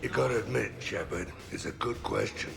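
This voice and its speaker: An actor playing a grizzled, blunt mercenary in a video game, with a gravelly, sardonic delivery. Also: male voice, lowish voice, a little fast, over music. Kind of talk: video game character acting.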